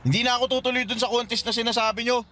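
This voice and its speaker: A man's voice: very high voice, fairly even intonation, racing, background noise. A man playing a hurt teenage son, resentful and pleading, in a radio drama. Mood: bitter. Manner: pleading, resentful, polite to elders. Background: music.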